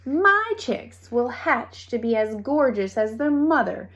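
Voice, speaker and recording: A woman's voice: on the high side, sing-song, slow, a bit bright, dull audio, full character voice. A woman voicing a proud, quarrelsome storybook mother hen with an emphatic, cooing and clucking manner. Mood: proud, insistent.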